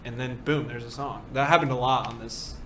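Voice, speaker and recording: Twenties dude telling loose stories, roomy echo, bit of hiss. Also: male voice, medium pitch, fairly even intonation, brisk, fairly bright tone. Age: 20s. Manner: loose storytelling with quoted asides. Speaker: band member, guest. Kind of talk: casual chat.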